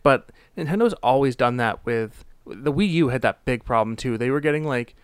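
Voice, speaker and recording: Smooth-voiced man in dry gaming chat. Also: medium pitch, lively intonation, normal speed, no echo. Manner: reactive, many fillers like "like".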